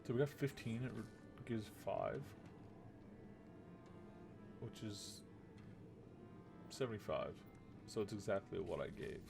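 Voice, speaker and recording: Low, creaky-voiced man muttering through a game. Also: sing-song, measured pace, long pauses. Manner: low-key, muttering to himself while thinking aloud. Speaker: game streamer. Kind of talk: casual let's-play commentary. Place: small room at home, indoors. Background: game music.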